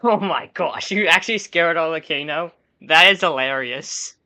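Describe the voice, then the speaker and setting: A man's voice: on the high side, moderate pace, pushed and bright. A man giving casual live gameplay commentary as a streamer, reacting on a close mic.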